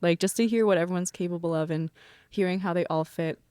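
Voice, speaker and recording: A woman singer in a band, talking relaxed and rambling in a casual interview. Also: on the low side, fast, dry recording, clean studio sound. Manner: relaxed, rambling with many fillers. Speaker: band member, vocalist.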